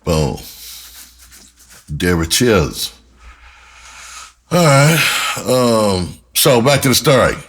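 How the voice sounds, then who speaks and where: A man talking casually as a vlog host and musician, telling stories in a halting way with pauses to think. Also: fairly low pitch, big pitch swings, fairly bright tone, slightly rough. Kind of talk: casual storytelling to camera.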